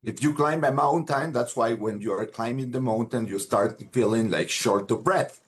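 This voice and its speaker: A man explains sports science in an interview. He hesitates often and restarts his sentences, at a mid pitch. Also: normal speed. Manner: hesitant, many fillers and restarts.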